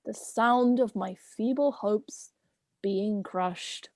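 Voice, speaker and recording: A woman's voice: mid-range voice, slow, darkish tone, no echo, clean studio sound. A woman playing a pompous, theatrical talking goat, indignant and wordy, in an amateur myth play reading. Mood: melodramatic despair.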